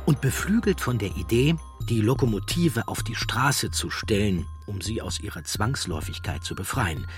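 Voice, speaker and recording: A man with a husky voice delivering scripted documentary narration. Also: lowish voice.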